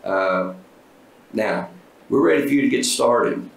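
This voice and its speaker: A man at a school board meeting welcoming a new staff member in a relaxed, joking way with many fillers. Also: mid-range voice, unhurried, gravelly. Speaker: school district official welcoming a new hire. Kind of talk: casual remarks at a board meeting. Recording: echoey meeting room.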